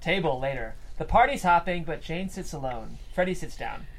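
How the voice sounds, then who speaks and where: A woman's voice: low voice, lively intonation, moderate pace, poor audio. A woman reading scripted screen directions aloud as narrator at a live table read.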